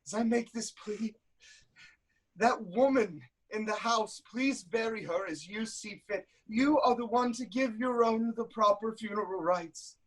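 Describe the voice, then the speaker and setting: A woman's voice: fairly high pitch, measured pace, poor audio. A woman playing an anguished, self-loathing blinded king in a declamatory, lamenting style of Greek tragedy. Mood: somber pleading. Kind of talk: theatrical Greek tragedy acting.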